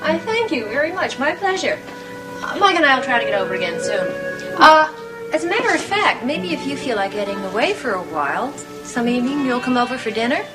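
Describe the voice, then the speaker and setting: A kind, reassuring friend in a television soap. Her pitch is a bit high and moves in a lively way. Music plays clearly behind the dialogue. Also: fairly quick, low-quality recording. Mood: reassuring, cheerful.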